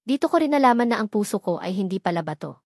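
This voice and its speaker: A woman's voice: medium pitch, big pitch swings, fast talker, a little mellow, no room sound, studio quality. A woman narrator voicing a devoted, earnest young millionaire in a romance story, making romantic declarations. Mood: emotional, confessing. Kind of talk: audio romance story dialogue.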